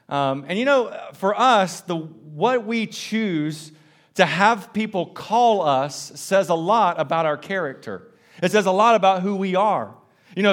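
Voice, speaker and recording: Higher-voiced Southern preacher. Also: male voice. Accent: Southern American. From South Carolina. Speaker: pastor. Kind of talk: sermon.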